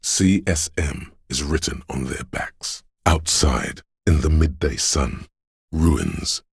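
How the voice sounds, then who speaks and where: Very deep, gravelly, brooding mystical narrator man. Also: expressive, no room sound, studio quality, heavily acted. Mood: melancholy, atmospheric. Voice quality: gravelly, husky. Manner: solemn, measured, ominous poetic delivery. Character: brooding, mystical male inner voice sensing the city. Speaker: game narrator voicing an inner sense. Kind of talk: scripted literary game narration.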